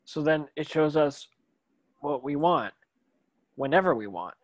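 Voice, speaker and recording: A man's voice: a little high, animated, a little slow, no echo. A man playing an earnest young orphaned boy wizard in a scripted read, plain-spoken and yearning. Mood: curious. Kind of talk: scripted table read acting.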